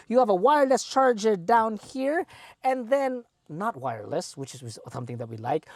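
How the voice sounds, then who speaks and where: Filipino-accented male car review host, animated swooping delivery, dry close sound, jokey and chatty. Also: a little high, dry recording. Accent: Filipino English. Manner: chatty, playful, enthusiastic asides. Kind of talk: presenting a car review walkaround.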